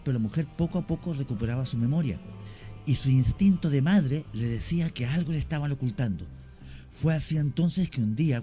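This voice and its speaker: A man, a radio guest telling an old ghost legend in a measured way with some "eh" fillers. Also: medium pitch, mellow. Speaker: guest storyteller.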